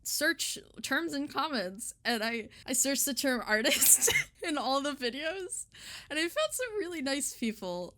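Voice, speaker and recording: A woman with a bright, crisp-edged voice at an average pitch. She talks informally as an artist in an interview, in an agreeable, affirming way. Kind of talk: casual chat.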